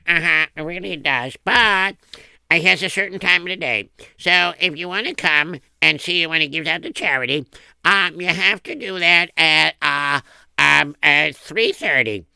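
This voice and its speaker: A man voicing a polite, dithering secretary in a comic story, speaking in a halting, drawn-out, placating way. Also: a little high, vocal fry, bright, forceful tone, clean tone, no room sound, exaggerated voice. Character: polite, dithering secretary guarding a busy boss. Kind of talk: acted dialogue in a comic story.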